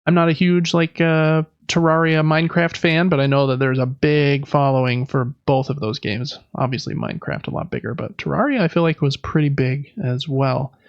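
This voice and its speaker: A man's voice: a little high, fairly even intonation, moderate pace, few pauses, clear, resonant tone, dry recording, muffled recording. A man hosting a podcast, chatting casually and informally through gaming news. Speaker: podcast host. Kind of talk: casual video game news talk.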